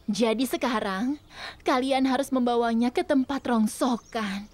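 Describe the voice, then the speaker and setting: Woman as a refined, fairly high-voiced duchess. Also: unhurried, a little mellow, natural voice. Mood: matter-of-fact. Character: refined, matter-of-fact aristocratic lady, a duchess. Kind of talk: dubbed children's cartoon acting.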